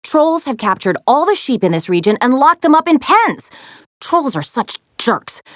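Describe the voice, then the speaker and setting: An actress voicing a plucky, sassy young woman adventurer in a video game, expressive and wry as she briefs heroes. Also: high-pitched, normal speed, no room sound, studio quality. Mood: wry, urgent briefing. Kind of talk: animated video game character lines, giving mission briefings.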